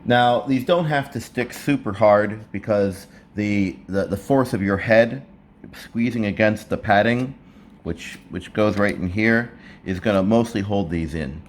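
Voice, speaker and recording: Low-voiced man giving a casual tutorial, background noise. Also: unhurried. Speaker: presenter of a how-to video. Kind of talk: casual hands-on tutorial narration. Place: indoors, living room at a table. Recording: clear background room noise.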